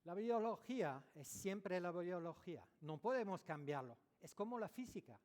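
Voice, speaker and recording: A man speaking Spanish as a non-native speaker, giving a dental conference lecture, pausing often and correcting his own words. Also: sits high, lively intonation, a little mellow. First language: French or Italian, not Spanish. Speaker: dentist giving a conference talk. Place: large lecture hall. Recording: echoey hall recording.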